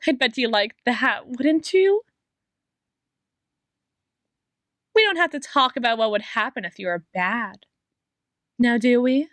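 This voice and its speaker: A woman playing an obsessive, possessive female doctor in an ASMR roleplay, sweetly menacing, with a coaxing, theatrical delivery and lingering pauses. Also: on the high side, animated, a bit bright, no echo.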